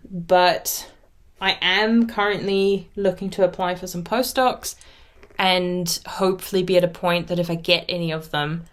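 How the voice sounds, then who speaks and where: A woman of about thirty whose first language is German talks in a relaxed, rambling way. Her voice sits a little lower than most women's. She chats casually, reflecting aloud as she hosts her own knitting podcast. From Austria. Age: around 30. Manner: rambly, chatty, self-reflective. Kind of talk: casual vlog chat.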